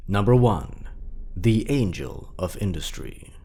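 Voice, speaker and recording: A man's voice: lowish voice, animated, takes it slowly, mellow, slightly rough. A man narrating scripted horror stories with a dramatic, suspenseful delivery. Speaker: narrator, host of a horror story countdown.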